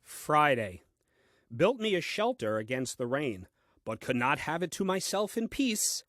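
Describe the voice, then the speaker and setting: A man's voice: fairly high pitch, big pitch swings, measured pace, fairly bright tone, no room sound. An actor playing a grumbling, self-important first man reading his diary in a comic audio play, with dry, fussy delivery.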